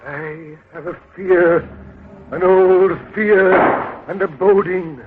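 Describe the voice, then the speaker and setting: An actor in a 1930s radio drama playing a fearful male beggar who foresees doom, speaking in a solemn, declamatory way. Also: high-pitched. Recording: tinny, noisy 1930s radio broadcast.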